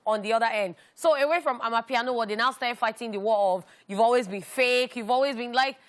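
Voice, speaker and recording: Nigerian lady co-host, bit high voice, keeps chiming in yeah, true. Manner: quick agreeing interjections. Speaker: entertainment show co-host. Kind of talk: casual chat.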